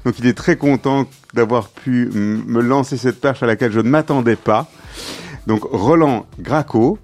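A man's voice: mid-range voice, normal speed, a little mellow, soft background music. A man co-hosting an interview show, joking and teasing himself as he introduces the guest. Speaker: co-host.

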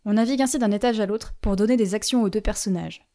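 A woman hosting a student radio show about video games, reading a prepared chronicle in a clear, measured way with a touch of drama. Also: mid-range voice, dark tone. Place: radio studio.

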